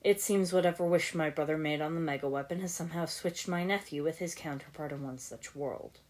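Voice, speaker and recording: A woman voicing a stern, calm old male martial arts master with dry, formal delivery in a story reading. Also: sits low, brisk, lightly acted. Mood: terse, explanatory.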